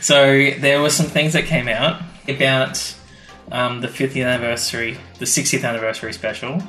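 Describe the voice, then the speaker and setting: A man's voice: mid-range voice, little pitch movement, moderate pace, sharp tone, faint music behind. A man co-hosting a podcast in casual chat, explaining things with a fan's enthusiasm. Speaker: podcast co-host. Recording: echoey room.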